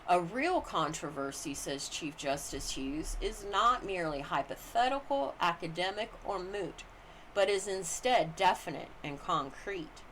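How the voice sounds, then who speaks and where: A woman reads legal commentary aloud in a deliberate, formal manner. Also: fairly low pitch, lively intonation, a bit bright, a little hiss or hum, low-quality recording. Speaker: audiobook-style reader.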